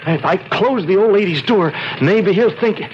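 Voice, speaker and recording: Jittery high-pitched crook. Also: male voice, animated, moderate pace. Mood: panicky. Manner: stammering, repeating himself. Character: jittery, panicky, muddled cornered male crook. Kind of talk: radio drama acting. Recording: muffled, noisy old radio drama recording.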